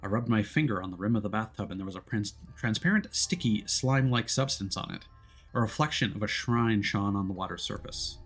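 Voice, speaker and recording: Read as a male streamer narrating a story game aloud, expressive and theatrical, slipping into casual asides between passages. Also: fairly low pitch, fairly quick, breathy edge, faint music behind. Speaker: streamer playing a visual novel.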